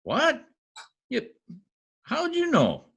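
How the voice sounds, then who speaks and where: A man's voice: very high-pitched, huge pitch swings, drawn-out words, vocal fry, fairly bright tone, heavily acted. A man playing a folksy, cocky, stubborn husband in an old-time radio comedy. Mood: curious.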